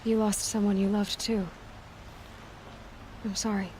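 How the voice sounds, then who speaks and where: Woman as a direct young huntress, medium-pitched. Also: flat delivery, measured pace, darkish tone, slight background noise, natural voice. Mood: sympathetic. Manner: plain-spoken, direct. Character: young huntress heroine, direct, sceptical, compassionate. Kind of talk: video game acting.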